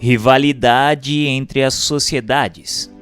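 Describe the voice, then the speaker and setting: A Brazilian man reading a book aloud as scripted audiobook narration, in a measured, formal way. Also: medium pitch, steady tone, fairly bright tone, soft background music.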